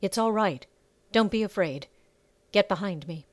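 A woman's voice: medium pitch, unhurried, no echo, close to an everyday voice. A woman voicing a battered, resolute fighting woman in an audiobook, firm, commanding and protective. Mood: steady. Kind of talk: audiobook character acting.

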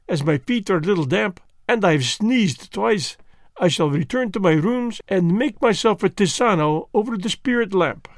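A man's voice: high-pitched, no room sound, clean studio sound, voice pushed up. A man reading the part of a fussy, vain elderly Belgian detective who speaks precisely and drops French words. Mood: gently ironic.

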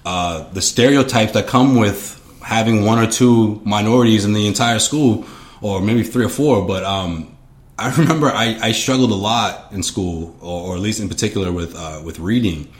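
A man's voice: on the low side, normal speed. A young man, a podcast co-host, talking earnestly about his school years in casual conversation, often repeating words as he starts a thought. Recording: roomy echo.

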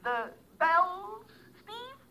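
A sly old bell seller, high voice all over the place, slow and wheedling, old radio play. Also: male voice, big pitch swings, creaky, bright, forceful tone, exaggerated voice. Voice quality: wheedling, florid, foreign-sounding. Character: sly old male Cairo shopkeeper peddling cracked bells. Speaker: informant. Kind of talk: 1950s radio drama acting.

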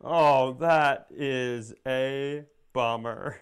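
A man's voice: mid-range voice, drawn-out words, clean tone. A man co-hosting a comedy podcast in casual banter, with a sardonic, blunt, profane way of talking. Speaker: podcast co-host.

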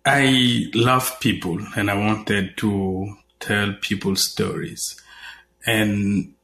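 A man's voice: fairly low pitch, fairly even intonation, drawn-out words, fairly bright tone. A man from Cameroon, a guest journalist, talking in an interview with many fillers and restarts. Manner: hesitant, many fillers and repeated words. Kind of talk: conversational interview.

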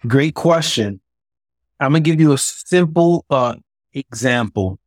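A man's voice: mid-range voice, expressive, a little slow, dry recording. Candid male guest, dry close sound. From Miami, USA. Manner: candid, explains with personal examples. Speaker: guest, businessman and ex-boxer. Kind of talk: conversational interview.

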